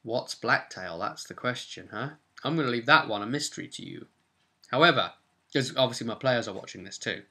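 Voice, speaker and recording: A man's voice: medium pitch, lively intonation, a little fast, pushed and bright, a little hiss or hum. A man hosting a vidcast as game master, casually recapping a tabletop role-playing session and explaining it emphatically, straight to his viewers.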